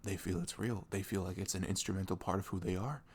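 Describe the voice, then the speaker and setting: A man acts out a sweet young boyfriend in an intimate audio roleplay. His voice sits on the low side and crackles with heavy fry. He rambles in casual slang, animated and caring, slipping into little acted-out quotes. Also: fast talker. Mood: gentle, musing. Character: sweet, caring, chatty boyfriend on a morning after.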